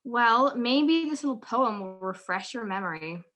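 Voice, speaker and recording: A woman's voice: on the high side, animated, a little slow, fairly bright tone. A young woman playing a witty, teasing detective's wife in an amateur 1940s-style radio drama. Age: young adult.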